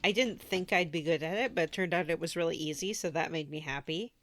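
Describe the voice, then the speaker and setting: A woman's voice: deep voice, quick pace. A woman co-hosting a casual gaming podcast, chatty and wry in the way she talks. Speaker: podcast co-host.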